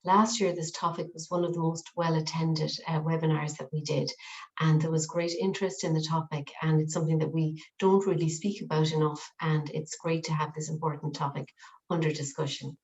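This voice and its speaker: A woman's voice: sits low, level tone, brisk, darkish tone. A woman hosting a webinar, friendly, with a few ums and restarts. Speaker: webinar host. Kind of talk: webinar introduction. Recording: dull lo-fi call audio.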